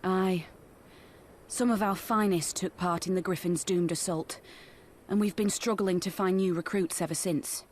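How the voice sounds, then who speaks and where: An actress playing a respectful, frank young resistance soldier in a video game, speaking with military formality. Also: female voice, fairly low pitch, darkish tone, a little hiss or hum, natural voice. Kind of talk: video game drama acting.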